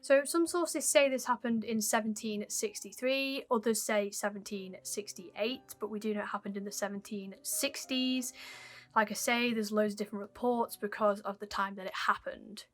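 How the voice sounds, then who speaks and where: A young woman hosting her channel, telling an old mystery case in a chatty way while her voice is croaky and fading. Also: mid-range voice, moderate pace, fairly bright tone, soft background music. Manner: chatty, apologetic, dwells on odd details. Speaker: YouTube host. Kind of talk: casual mystery storytelling to camera.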